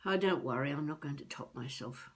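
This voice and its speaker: A woman playing a feisty elderly would-be novelist, rambling wryly and haltingly through a comic monologue as a video diary. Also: deep voice, lightly acted. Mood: reflective, darkly comic. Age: older. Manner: wry, musing, halting, talking to herself.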